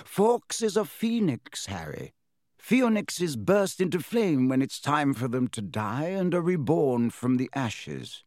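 A man voicing an elderly wizard headmaster in an audiobook, serene and gently amused, measured and kindly. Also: on the high side, big pitch swings, no echo, clean studio sound, voice pushed up. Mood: calm, amused. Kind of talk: audiobook character voice.

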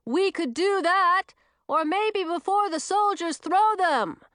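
A woman voicing a cheerful, slightly puzzled witch in a storybook, who booms and shouts everything she says. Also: sing-song, moderate pace, no echo, studio quality. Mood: loud cheerful. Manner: booming, shouting delivery.

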